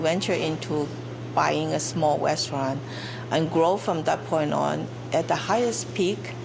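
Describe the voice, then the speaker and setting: An older woman from China, a business owner telling her story slowly and reflectively in an interview. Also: deep voice, vocal fry, background noise. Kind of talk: interview testimony.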